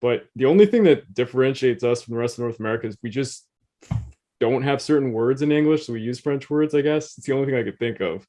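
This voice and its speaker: A man from Quebec talks easily on a podcast, drifting off mid-thought and picking his sentences back up. His voice is an unremarkable middle pitch, and his delivery is unhurried and conversational. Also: mellow. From Quebec, Canada. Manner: laid-back, trailing off and restarting. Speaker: podcast co-host. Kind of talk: casual chat.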